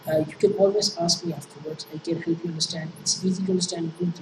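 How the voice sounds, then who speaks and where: A woman with a Pakistani accent lectures on engineering problems, pausing often on fillers and restarting her sentences. She sounds far from the microphone in an echoey room, with clear noise behind her. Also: fairly low pitch, fairly even intonation. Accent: Pakistani English. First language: Urdu. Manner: halting, many fillers and restarts. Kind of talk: classroom lecture explaining worked problems. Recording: echoey room, distant mic, noisy.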